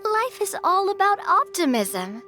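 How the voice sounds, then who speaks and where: Optimistic game girl, bubbly, very high voice, animated intonation, slow theatrical pacing. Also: faint music behind, exaggerated voice. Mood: teasing, upbeat. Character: sunny, chatty, optimistic young adventurer woman in a role-play.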